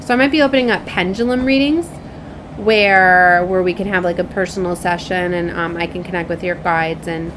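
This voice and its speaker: A woman's voice: lowish voice, fairly even intonation, normal speed, background noise. A woman doing a spiritual oracle card reading, moving between reading aloud and rambling, informal asides. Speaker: tarot and oracle card reader. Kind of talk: spiritual card reading, part read aloud, part casual chat.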